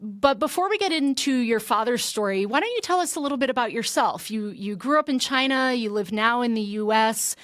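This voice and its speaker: A woman's voice: a little high, lively intonation, a little fast, hardly any pauses, no room sound, studio quality. A woman guest-hosting a formal interview podcast, speaking in an earnest, articulate and polished way. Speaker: guest host.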